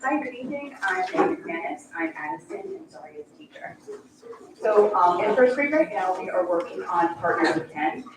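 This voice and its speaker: A woman's voice: mid-range voice, big pitch swings, normal speed. Upbeat woman teacher in an echoey hall. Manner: upbeat, announcing. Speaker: first grade teacher and presenter. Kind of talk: presenting at a school board meeting. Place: school board meeting room. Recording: echoey room, distant mic, background noise.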